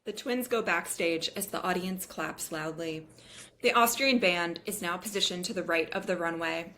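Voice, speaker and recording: Woman reading out screenplay directions, voice a little low, narrator at a table read. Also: normal speed, a bit bright, slight background noise.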